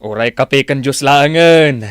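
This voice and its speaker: A man's voice: medium pitch, takes it slowly, clear, resonant tone, dry recording, studio quality, natural voice. An actor playing a hospitable middle-aged father in a radio drama. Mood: welcoming. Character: hospitable middle-aged father welcoming his daughter's suitor.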